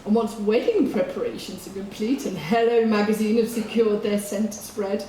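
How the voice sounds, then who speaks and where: A woman's voice: medium pitch, normal speed, dark tone, lightly acted. A woman playing a gleefully wicked, sneering pantomime villainess on a theatre stage, gloating melodramatically. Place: theatre stage before an audience. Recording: echoey theatre with clear noise.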